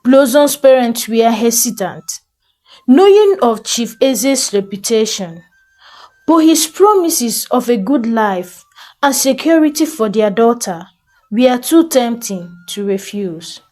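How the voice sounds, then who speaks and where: Lady telling a folktale, mellow voice, really slow and expressive. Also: fairly high pitch. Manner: slow, expressive, deliberate storytelling. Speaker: storyteller. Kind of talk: dramatic scripted folktale narration.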